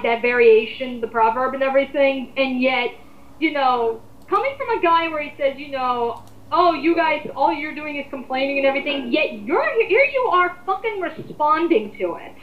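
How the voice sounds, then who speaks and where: A woman with a high-pitched voice talks in a roomy, muffled home recording. She co-hosts a commentary, rambling informally through her opinions. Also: animated, normal speed. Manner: rambling, informal, occasional swearing. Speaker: co-host of an online commentary. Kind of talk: casual opinionated chat.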